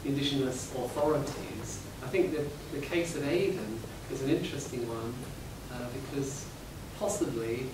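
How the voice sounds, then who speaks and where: A man's voice: mid-range voice, normal speed, hardly any pauses. A man on an academic panel speaking hesitantly, with many fillers and restarts. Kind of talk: academic round-table discussion. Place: seminar room. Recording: echoey hall, distant mic, background noise.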